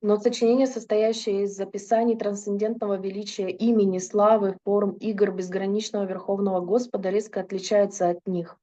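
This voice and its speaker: A woman interprets a lecture sentence by sentence, fluent but now and then stopping to correct herself. Her voice is mellow and warm. The recording sounds dull and muffled. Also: mid-range voice, little pitch movement, fairly quick, few pauses. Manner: fluent, with occasional hesitations and self-corrections. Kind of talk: consecutive interpretation into Russian.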